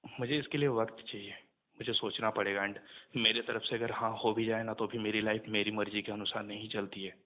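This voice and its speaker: A young man talking casually on a recorded phone call, subdued and earnest, with hesitant pauses. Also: fairly low pitch, flat delivery.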